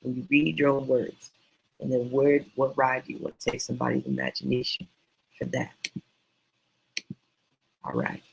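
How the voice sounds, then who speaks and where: A man teaching writing in a casual, meandering, encouraging way, his voice going hoarse. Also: mid-range voice, level tone, moderate pace, pauses often, slight background noise, rough recording. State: losing voice, hoarse. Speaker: self-published author teaching writing.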